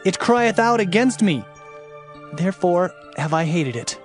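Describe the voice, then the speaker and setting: A man acts a grieving prophet warning of doom in a fairly high male voice. He declaims earnestly and deliberately, in the manner of audio scripture drama, with faint music underneath. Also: expressive. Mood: grieved, indignant.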